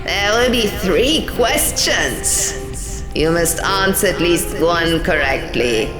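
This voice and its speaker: A woman's voice: fairly low pitch, lively intonation, slow pace, over music. A woman voicing a menacing, imperious old man, a scribe posing as a god, solemn and condescending, in an audiobook. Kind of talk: audiobook character voice.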